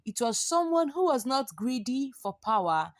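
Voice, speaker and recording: A woman's voice: high voice, big pitch swings, normal speed, no echo, clean studio sound. A woman telling a folktale dramatically and expressively, drawing out and repeating words for effect. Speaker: storyteller.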